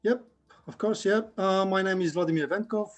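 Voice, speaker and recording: Male guest, 40s, from Bulgaria, second-language speaker; slightly high voice, halting and modest delivery. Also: faint music behind. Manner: hesitant, many fillers, modest. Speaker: guest, 3D character artist. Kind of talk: casual podcast interview.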